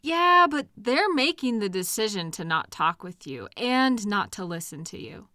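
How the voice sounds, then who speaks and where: A woman playing a caring, protective partner in an intimate comfort audio roleplay, speaking gently and earnestly in a reassuring, conversational way with hesitant pauses. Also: medium pitch, sing-song, no echo, clean studio sound. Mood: earnest, insistent. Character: caring, protective partner consoling a hurting loved one.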